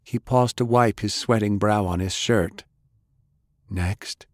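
Low-voiced male audiobook narrator. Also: dry recording, studio quality. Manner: measured, expressive. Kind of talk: dramatic audiobook reading.

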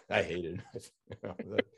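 A man hosting a podcast interview, chatting casually in an informal, somewhat halting way. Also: low-pitched, steady tone, creaky, a bit bright, husky.

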